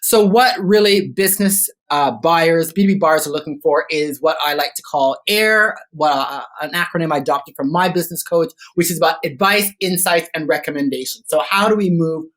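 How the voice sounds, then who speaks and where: A man's voice: fairly high pitch, moderate pace, hardly any pauses, a bit bright. A Canadian-accented man talks as a guest on a business podcast. He is articulate and methodical, running through lists of points. His speech is filled with ums and uhs as he goes. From Toronto, Canada. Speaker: guest business growth consultant. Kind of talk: conversational podcast interview.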